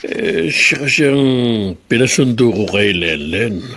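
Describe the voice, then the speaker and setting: A man's voice: lowish voice, animated, a little slow, sharp tone, breathy edge, full character voice. An actor playing an older, ailing but dutiful grandfather in a Filipino radio drama. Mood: weary, unwell.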